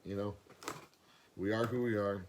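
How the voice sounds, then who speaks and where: A man with a hoarse, worn voice, rambling casually through a vlog with lots of fillers, recorded on a camera mic with no external microphone. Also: fairly low pitch, steady tone, a little slow, noisy background. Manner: rambling, many fillers and restarts. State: hoarse, losing his voice. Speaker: pipe smoking vlogger. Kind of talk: casual box opening vlog.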